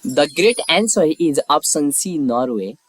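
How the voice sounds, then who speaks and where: A man hosting a quiz, reading scripted questions and lettered options in a measured, formulaic way. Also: fairly high pitch, lively intonation, soft background music. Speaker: quiz host.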